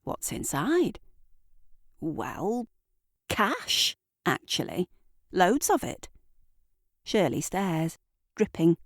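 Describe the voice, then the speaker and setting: A woman narrating an audio drama in a deliberate, theatrical storytelling manner, with dramatic pauses. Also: lowish voice, wildly swooping pitch, no room sound, clean studio sound. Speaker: narrator and writer.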